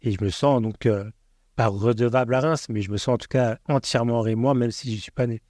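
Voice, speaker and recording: A man's voice: mid-range voice, darkish tone, dry recording, studio quality. Chatty man hosting a podcast. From Paris region, France. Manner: chatty, opinionated, quick to interject. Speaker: podcast host. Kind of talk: casual podcast conversation.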